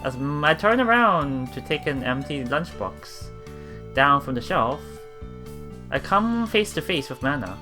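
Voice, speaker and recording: A man hosts a visual novel let's play in a bright, lively voice of medium pitch. He reads the text aloud and comments casually, often restarting phrases. Game music plays softly behind him. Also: a little slow.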